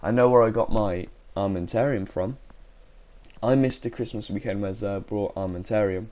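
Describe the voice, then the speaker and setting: A man's voice: lowish voice, moderate pace, mellow. Man with a sore throat. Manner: rambling, frequent self-corrections. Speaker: gaming YouTuber. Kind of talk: casual commentary video.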